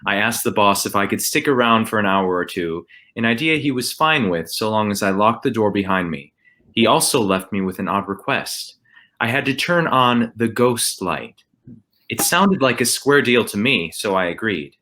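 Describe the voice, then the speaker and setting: A man narrates a scripted story in the first person. His voice is fairly low, yet very bright and forceful in tone. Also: expressive, moderate pace. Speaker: storyteller reading a ghost story.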